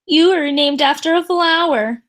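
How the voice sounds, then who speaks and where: A woman's voice: high voice, unhurried, a bit bright, pitched above the performer's own voice. A woman voicing a snooty, know-it-all schoolgirl in a storybook, pointed and emphatic in her delivery. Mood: snide.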